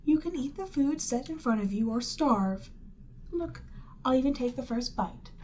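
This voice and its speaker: A woman voicing a proud, seductive demon queen in a scripted ASMR roleplay, haughty and measured, lapsing into breathy near-whispers. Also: high-pitched, expressive, mellow, slight background noise. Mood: coolly persuasive.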